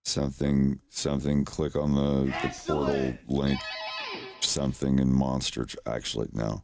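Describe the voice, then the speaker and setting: A man's voice: a bass voice, flat delivery, a little slow, gravelly, music in the background. A man chatting casually on his livestream, rambling and chuckling in a self-deprecating way, into a desk microphone at home. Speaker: streamer, animator.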